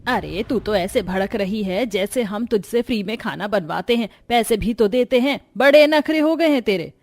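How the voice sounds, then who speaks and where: A woman voicing a bossy, scolding middle-aged mother-in-law in a cartoon, sharp-tongued and commanding. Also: medium pitch, animated, moderate pace, lightly acted. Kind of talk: cartoon voice acting.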